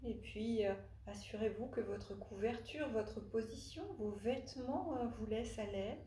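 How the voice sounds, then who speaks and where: A woman leading a guided relaxation as a yoga nidra instructor, speaking haltingly with long pauses and frequent hesitations. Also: a little high, dark tone. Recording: roomy echo, faint background noise.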